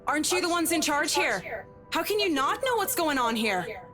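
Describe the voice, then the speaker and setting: A woman's voice: sits high, big pitch swings, normal speed, bright, forceful tone, soft background music, heavily acted. A woman playing a stern interior designer in a visual novel, speaking in a formal, clipped and controlled way. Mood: displeased. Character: stern professional female interior designer, curt and displeased.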